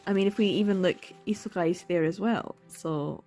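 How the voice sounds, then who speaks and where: A woman's voice: on the low side, moderate pace, warm tone, faint music behind. A young woman in a casual reading vlog, talking through a manga with exasperated sighs, laughs and dramatic repetition. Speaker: book vlogger.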